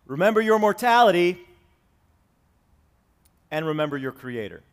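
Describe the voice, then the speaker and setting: 40s guy pastor preaching, kinda higher voice, gets loud then drops to chatty asides. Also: sing-song, normal speed, smooth. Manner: swings from loud preaching to soft asides, conversational. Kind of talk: sermon. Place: church sanctuary with congregation.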